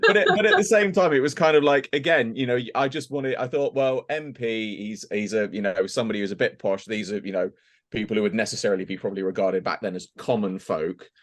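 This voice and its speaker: Low-pitched man thinking aloud. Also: fast talker. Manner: hesitant, rambling, thinking aloud. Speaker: voice actor, podcast guest. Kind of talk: casual podcast discussion.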